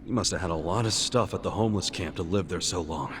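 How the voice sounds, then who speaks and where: An actor playing an earnest, stubborn, cheeky yakuza hero in an English game dub, casual and plain-spoken. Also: male voice, deep voice, quick pace, noisy background, natural voice. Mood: casual, thoughtful. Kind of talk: English video game voice acting.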